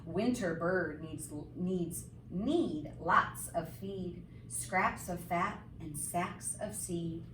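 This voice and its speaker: A woman reading a picture book aloud to young children like a teacher, with expressive, sing-song, emphatic phrasing and simple explanatory asides. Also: fairly low pitch, very slow, few pauses. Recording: roomy, distant mic, faint background noise.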